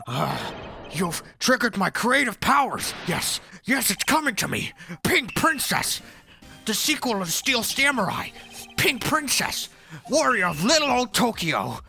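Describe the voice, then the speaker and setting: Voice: medium pitch, big pitch swings, measured pace, gravelly, pushed and bright, soft background music, full character voice. An amateur actor voicing an eccentric, smug, slang-spouting nerdy TV director in a video game fandub, with drawling theatrical geek-speak. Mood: excited, scheming.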